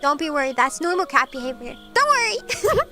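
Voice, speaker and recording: A woman from eastern Washington, streaming as a VTuber and chatting casually with viewers, bubbly and quick to giggle. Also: high-pitched, very animated, sharp tone, faint music behind. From eastern Washington, USA. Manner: bubbly and giggly, gasps and laughs often. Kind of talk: casual chat with viewers, reading comments.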